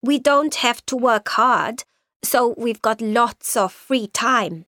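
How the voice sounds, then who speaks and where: An actress reading a scripted listening exercise as a young German woman working in a gift shop, in a slow, clear, carefully paced delivery. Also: a little high, pushed and bright, dry recording, clean studio sound. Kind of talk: scripted textbook listening monologue.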